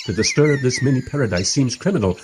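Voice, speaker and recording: A man playing a wry documentary maker, narrating a radio play in the first person with expressive, husky delivery. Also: medium pitch, quick pace, background noise, natural voice. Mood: observant, wry. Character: wry, enthusiastic documentary maker narrating his historical encounters.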